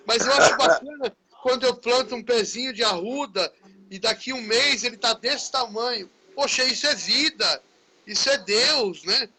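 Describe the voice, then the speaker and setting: High, bright-voiced São teacher. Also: male voice, moderate pace, rough recording. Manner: chatty, friendly, often tags phrases with "né". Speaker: teacher, live-stream host. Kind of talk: casual conversation in a live stream.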